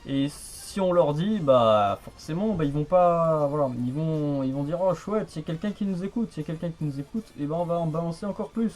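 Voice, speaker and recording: Rambling man with fairly high, muted voice. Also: fairly quick, few pauses, a little mellow. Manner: rambling, hesitant, repetitive. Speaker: amateur radio hobbyist. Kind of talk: casual radio chat.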